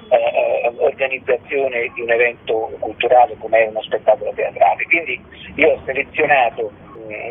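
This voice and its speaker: A man giving interview answers as a festival director, haltingly, searching for his words. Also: medium pitch. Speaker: theatre festival artistic director, radio guest. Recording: narrow phone line with background noise.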